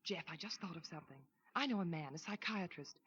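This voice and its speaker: A gentle, reassuring young woman in an old radio play. Her voice is lowish and breathy, and she speaks softly and steadily. The recording is dull and lo-fi like a vintage broadcast. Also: fast talker. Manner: soft, reassuring. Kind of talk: 1940s radio acting.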